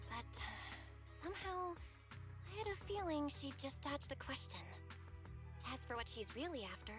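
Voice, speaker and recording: An actress voicing a young, easygoing and self-assured woman investigator in video game story dialogue. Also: high-pitched. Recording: game music behind.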